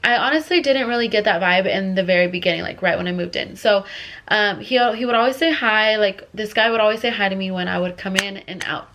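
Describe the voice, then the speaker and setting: A young woman talks through a storytime with a stuffy, congested voice as she gets over a cold. She rambles and restarts constantly, piling on filler words. Her pitch sits in the middle of the range. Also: a little fast, fairly bright tone, a little hiss or hum. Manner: rambling, filler-heavy, restless. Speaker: vlogger. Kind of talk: casual storytime.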